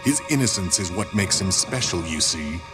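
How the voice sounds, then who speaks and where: An actor playing a scheming, condescending robot commander in a video game cutscene. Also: male voice, low-pitched, normal speed. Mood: calm menace. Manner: calm, condescending, menacing. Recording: game audio with loud music.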